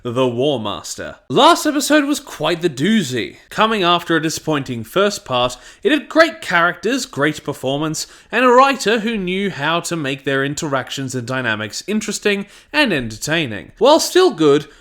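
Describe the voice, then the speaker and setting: A man's voice: on the high side, huge pitch swings, normal speed, few pauses, sharp tone. A man narrating a scripted review, stressing his phrases emphatically.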